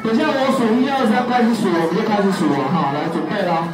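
A young man performing a magic show for children, coaxing and playful, counting out loud with exaggerated energy. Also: sits high, expressive, fast talker, distant mic. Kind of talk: live magic show for kids. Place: small party room at a cafe, indoors. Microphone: far camera, no mic. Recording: echoey room, recorded from afar. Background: loud music and crowd of kids.

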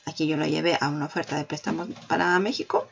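A woman hosting a marketing tutorial, walking the viewer through software on screen and talking straight to them. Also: deep voice, quick pace, gravelly, lo-fi sound. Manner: explanatory, addresses viewer directly. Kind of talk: screen-recorded software walkthrough.

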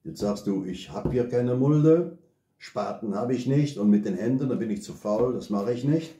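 An older man calmly explaining bushcraft cooking step by step at a living-room table, often ending with "ne?". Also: mid-range voice, normal speed. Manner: calm, step-by-step explaining with frequent tag "ne?". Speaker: bushcraft expert and father. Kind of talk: relaxed explanatory chat. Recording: echoey room.

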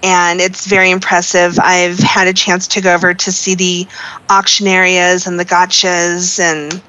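A woman's voice: lowish voice, monotone, a little slow, pushed and bright, low-quality recording. A woman giving earnest, casual interview answers as a member of a charity team. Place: online voice chat in a virtual world.

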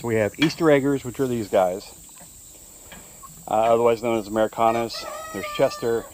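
A man filming his farmyard outdoors on a handheld phone, explaining at an easy, relaxed pace. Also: mid-range voice, noisy background. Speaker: homesteader showing his farm. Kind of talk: casual walk-through narration.

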